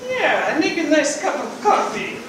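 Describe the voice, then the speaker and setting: A woman's voice: high-pitched, very animated, moderate pace. A woman playing a sly, vengeful fired spy. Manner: sly, mock-sweet. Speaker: improv player. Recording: echoey hall from far off, noisy.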